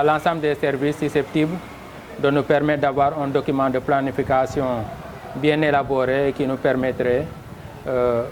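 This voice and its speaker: Even-toned Senegalese man, formal interview. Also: medium pitch. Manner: measured, formal, halting phrasing. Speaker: local elected official interviewed. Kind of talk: formal on-camera interview statement. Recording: clear background noise, on-location ambience.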